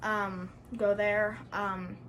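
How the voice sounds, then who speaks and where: A woman's voice: medium pitch, little pitch movement, drawn-out words, fairly bright tone, a little hiss or hum. A young woman vlogging in a casual, rambling way, filling her talk with "like" and "um". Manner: rambling, chatty, full of "like" and "um". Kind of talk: casual vlog chat.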